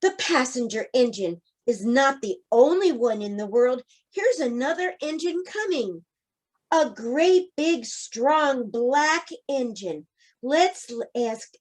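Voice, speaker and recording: Woman as an eager, high-pitched little toy clown. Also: lively intonation, takes it slowly, exaggerated voice. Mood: hopeful. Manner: eager, pleading.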